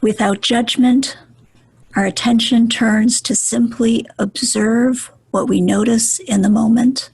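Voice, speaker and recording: A woman leading a guided meditation in a soft, breathy voice, gentle and soothing, with deliberate pauses. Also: a little high, fairly even intonation, dry recording.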